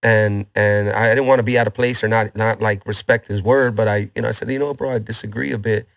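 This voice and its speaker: Laid-back rapper from LA. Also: male voice, fairly low pitch, fairly even intonation, quick pace, creaky, no room sound. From Los Angeles, Boyle Heights. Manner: laid-back street slang, many restarts. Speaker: rapper guest. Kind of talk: casual interview chat.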